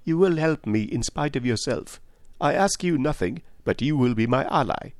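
A man acts out a shrewd male detective in an audiobook, pitched well up with lively swings. He is courteous and calm, probing in measured, insistent phrases. The sound is dry and close. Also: no room sound, lightly acted. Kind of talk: audiobook character voice.

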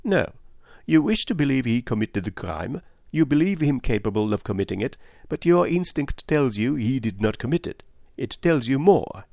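A man acts out a shrewd male detective in an audiobook, pitched well up with lively swings. He is courteous and calm, probing in measured, insistent phrases. The sound is dry and close. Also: creaky, no echo. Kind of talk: audiobook character voice.

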